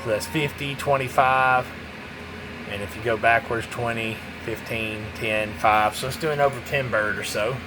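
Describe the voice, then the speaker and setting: Guy doing a casual radio demo, kinda mumbling to himself, mid pitch, noisy hum behind him. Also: steady tone, normal speed. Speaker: radio seller demonstrating a CB radio. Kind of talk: casual hands-on product demo.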